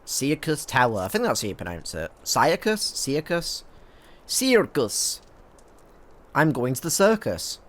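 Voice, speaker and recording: A man's voice: medium pitch, sing-song, unhurried, a bit bright. A man with a stuffy nose giving rambling, jokey commentary as he plays a video game. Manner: rambling, jokey, self-mocking. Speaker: gaming video creator. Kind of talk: let's play commentary.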